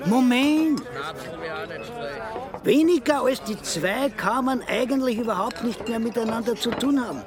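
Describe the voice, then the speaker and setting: An actor playing an alarmist, excitable village man with an Austrian accent in a radio drama, dramatic and insistent. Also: very high-pitched, unhurried, a crowd in the background. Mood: alarmed, insistent. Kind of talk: dialect radio drama acting.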